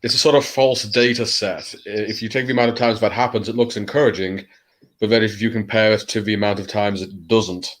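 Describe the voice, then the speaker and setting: A man, a guest writer on a video call, speaking in a measured, precise way. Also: on the low side, steady tone, fairly bright tone. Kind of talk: casual livestream chat.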